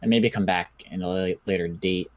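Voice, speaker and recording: A man playing a measured, curious doctor who studies zombies in an improvised apocalypse game roleplay, talking deliberately. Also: low voice, fairly even intonation, no echo, muffled recording. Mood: businesslike.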